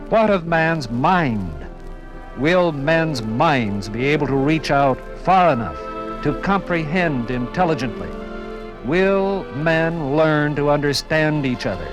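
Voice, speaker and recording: A man's voice: fairly high pitch, poor audio. An American man giving formal 1950s radio documentary narration in a solemn, oratorical manner.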